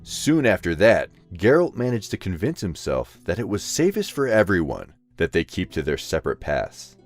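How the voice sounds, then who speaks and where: A man's voice: deep voice, wildly swooping pitch, normal speed, soft background music. A man narrating a scripted story as the narrator of an audio drama.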